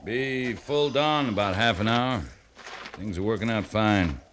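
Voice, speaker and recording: Firm, low-voiced American cavalry captain. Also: male voice, a little slow, a bit bright. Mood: firm, methodical. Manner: clipped, authoritative orders. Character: seasoned cavalry captain, firm, decisive and measured. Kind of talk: 1950s radio western acting. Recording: noisy 1950s radio broadcast recording.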